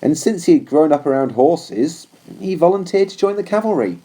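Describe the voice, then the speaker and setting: A man's voice: a little high, animated, moderate pace, warm tone, rough recording. A man reading a scripted story aloud as narrator of an audiobook. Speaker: audiobook reader.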